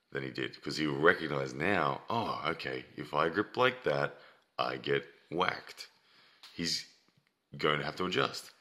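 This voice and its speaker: A man's voice: rumbling low, pushed and bright. A man giving a casual, blunt and scolding monologue to viewers, emphatic and repeating words for weight, his voice starting to give out. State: losing his voice, throat-clearing. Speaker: competitive arm wrestler.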